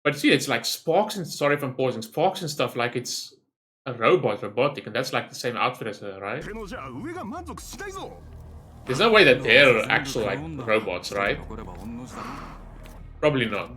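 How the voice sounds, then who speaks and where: A man's voice: mid-range voice, animated, moderate pace, pauses often, bright, forceful tone. A man hosting a gaming reaction video, talking casually off the cuff, thinking aloud and often checking himself with a tagged-on question. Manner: rambling, thinks aloud, often tags sentences with "right?".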